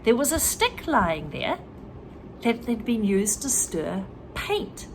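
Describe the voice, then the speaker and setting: A woman's voice: a little high, sing-song, slow, slight background noise. A woman reading a children's story aloud and stopping to explain English words and expressions to learners. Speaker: reader and English teacher.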